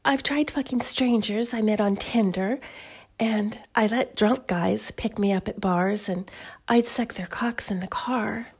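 A woman playing a lustful, coaxing seductress in an intimate audio roleplay for adults, speaking to her lover in a breathy, whispery, drawn-out way. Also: medium pitch. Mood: hushed, seductive. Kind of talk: intimate erotic audio roleplay addressed to the listener.

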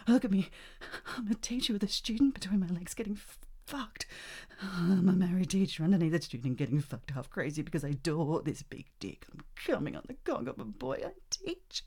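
Casting: woman as a possessive, reckless married teacher; husky and breathy, lower register, big pitch swings between whispers and cries. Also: animated, fairly quick, a little mellow. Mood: passionate. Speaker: voice actor in an adult audio roleplay. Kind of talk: intimate roleplay performance.

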